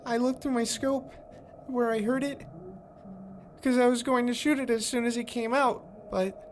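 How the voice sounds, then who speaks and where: A woman voicing a shy, frightened young schoolboy in an audio drama, speaking haltingly. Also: fairly high pitch, steady tone, a little hiss or hum, close to an everyday voice. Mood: shaken, fearful. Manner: hesitant, halting.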